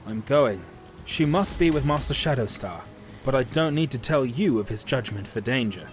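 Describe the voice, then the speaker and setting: A man's voice: mid-range voice, expressive, moderate pace, soft background music, close to an everyday voice. Man playing a valiant, resolute warrior leader. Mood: resolute, protective. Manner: earnest, resolute, heroic. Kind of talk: fantasy audio drama acting.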